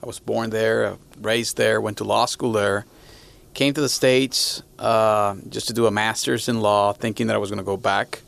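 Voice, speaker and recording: Gravelly low-voiced man from Venezuela. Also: level tone, moderate pace, a bit bright. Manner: hesitant, restarts often, friendly. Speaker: podcast guest. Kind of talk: conversational interview answers.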